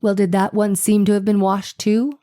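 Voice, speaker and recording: A woman's voice: medium pitch, level tone, normal speed, dark tone, natural voice. A woman playing a persistent, skeptical male defense attorney in a dramatized courtroom reading, with probing, pointed questions. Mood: insistent.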